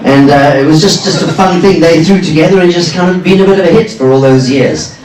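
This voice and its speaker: A man's voice: a little high, a little fast, a little mellow, slight background noise. An older man from Zimbabwe, a cruise director and musician, chatting and joking with a lounge audience between songs. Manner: self-deprecating, joking with the audience. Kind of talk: live stage patter between songs. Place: ship's lounge stage. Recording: roomy, from a distance.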